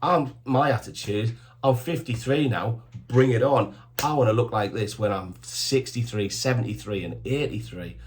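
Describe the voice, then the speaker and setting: A man's voice: medium pitch, moderate pace, a little hiss or hum. A man in his fifties giving supplement and bodybuilding advice straight to camera, in short emphatic commands and an enthusiastic, plain-spoken way. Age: 50s. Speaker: supplement shop owner giving fitness advice.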